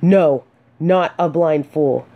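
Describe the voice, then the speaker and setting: A woman playing a gentle, earnest female knight in a fantasy romance audio drama, speaking softly with hesitant, dramatic pauses. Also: sits low, highs cut off, exaggerated voice. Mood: pleading, firm. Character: gentle, earnest female knight consoling a despairing blind companion.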